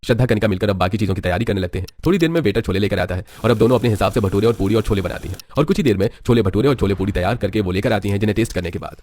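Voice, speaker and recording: A man's voice: on the low side, racing, hardly any pauses, darkish tone. A man narrating a scripted bedtime story as a storyteller. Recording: music behind the voice. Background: background music.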